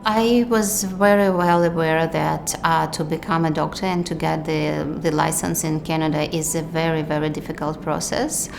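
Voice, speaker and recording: A middle-aged woman from Ukraine with a Ukrainian accent, speaking in a measured documentary interview with hesitant fillers. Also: low voice, flat delivery, gravelly, soft background music. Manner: measured, thoughtful, hesitant fillers, non-native grammar. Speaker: physician and researcher.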